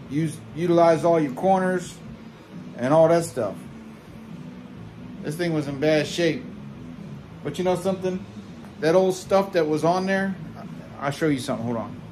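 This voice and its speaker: A man's voice: fairly high pitch, measured pace, long pauses, noisy background. A man in his forties talking casually in a folksy, rambling way while he works on upholstery in a barbershop, filming himself close to a handheld camera. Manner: rambling, folksy, self-correcting. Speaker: DIY video host. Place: barbershop interior.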